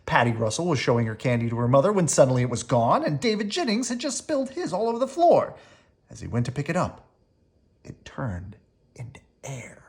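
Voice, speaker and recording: An expressive storybook reader with a very animated delivery. The pitch moves up and down freely, and key words get drawn out for dramatic emphasis, as in a bedtime read-aloud for children. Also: brisk.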